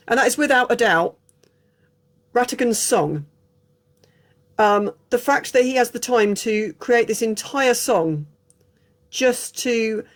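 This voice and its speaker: An English woman chatting casually through an unscripted film review, frank and prone to digressions. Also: medium pitch. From England. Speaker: film reviewer, channel host.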